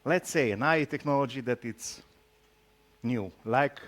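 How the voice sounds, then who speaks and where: A man from Romania with a Romanian accent gives an informal tech talk, speaking haltingly and teasing his audience with jokes. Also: mid-range voice. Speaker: conference speaker, solution architect.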